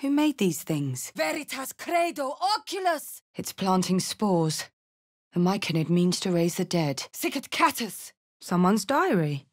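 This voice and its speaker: A woman's voice: mid-range voice, sing-song, a little slow. An actress voicing a brash, hot-headed woman warrior with a blunt, cheeky manner in a fantasy video game. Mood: intense incantation. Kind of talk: fantasy video game character lines and spell calls.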